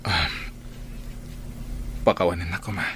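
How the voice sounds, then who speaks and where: Low-voiced, guilty young husband. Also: male voice, fairly even intonation, a bit bright, a little hiss or hum. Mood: apologetic. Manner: halting, apologetic. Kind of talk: radio drama acting.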